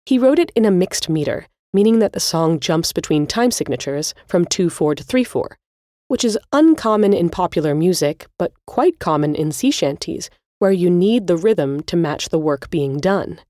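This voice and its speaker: A woman's voice: fairly low pitch, lively intonation, normal speed, warm tone, dry recording, studio quality. A woman hosting a podcast, narrating scripted music history in an articulate, explanatory way. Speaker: podcast host.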